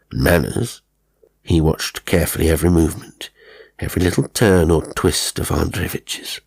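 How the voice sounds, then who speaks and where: A man narrating a dramatic horror story as an audiobook. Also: deep voice.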